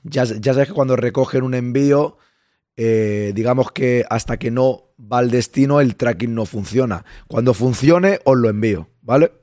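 A man with a Spain Spanish accent hosting a casual livestream, a bit hoarse, chatting with viewers and greeting them by name. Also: mid-range voice, normal speed, darkish tone, dry recording, studio quality. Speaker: livestream host. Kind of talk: casual live chat with viewers.

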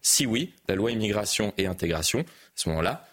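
A man's voice: on the low side, expressive, fairly quick, fairly bright tone. A man, a journalist on a TV panel, explaining a point step by step with frequent hesitations. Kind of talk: explanatory TV debate.

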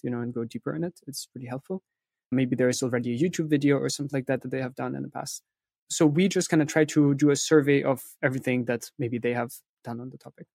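A man's voice: medium pitch, a little mellow, no echo. Man explaining thoughtfully, dry close sound. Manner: often tags statements with a questioning "right?". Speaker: interview guest, content agency specialist.

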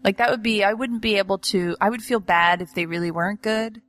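A woman's voice: medium pitch, brisk, a bit bright. Chatty woman with frequent laughs. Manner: chatty storyteller, laughs often, trails off and restarts. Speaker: real estate agent and podcast host. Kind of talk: casual chat.

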